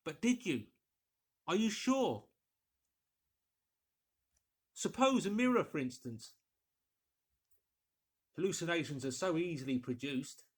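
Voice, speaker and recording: A mild village vicar from a storybook as a male narrator plays him. He muses skeptically and fussily in a genteel, slightly high-pitched male voice. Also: lively intonation, slow, long pauses, breathy edge, full character voice. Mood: musing, skeptical. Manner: genteel, musing, fussy.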